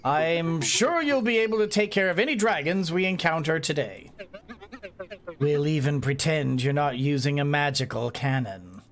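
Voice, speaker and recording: A man voicing a snarky male mage in a video game, speaking lower than his own voice with sneering sarcasm. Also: moderate pace, lightly acted. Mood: snide.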